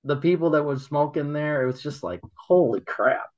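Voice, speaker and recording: A man telling stories in casual chat, halting and rambling, laughing often as he goes. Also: a little high, expressive, a little mellow, highs cut off. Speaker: guest, local business owner.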